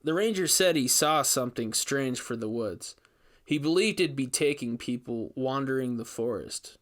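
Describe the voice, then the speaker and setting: A man playing an investigator who recounts a frightening ordeal in measured, emphatic, scripted first-person narration. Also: mid-range voice, animated, fairly bright tone, lightly acted. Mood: earnest, uneasy. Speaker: narrator reading a story.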